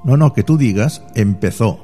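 A man's voice: medium pitch, expressive, moderate pace, mellow, soft background music. A man from Murcia hosting a casual radio chat with callers, teasing and repeating himself as he talks. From Murcia, Spain. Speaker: radio host.

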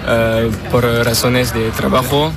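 A young male student answering a street interview outdoors, halting with many fillers and restarts. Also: mid-range voice, level tone, gravelly, a crowd in the background. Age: young adult. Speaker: student passer-by.

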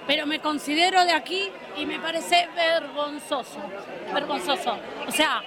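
A woman's voice: high-pitched, normal speed. A woman with an Argentine accent, speaking emphatically and repeating herself in an unscripted street interview. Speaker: local resident interviewed. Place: outdoor town square. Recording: outdoor street with crowd behind.

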